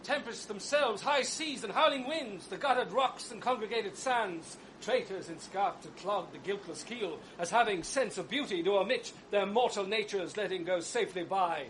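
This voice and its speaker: A gallant young lieutenant in a vintage radio drama. His high voice declaims with courtly grace, and a faint broadcast hiss sits under it. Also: moderate pace, few pauses, fairly bright tone, poor audio. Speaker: actor. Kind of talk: 1980s radio drama acting.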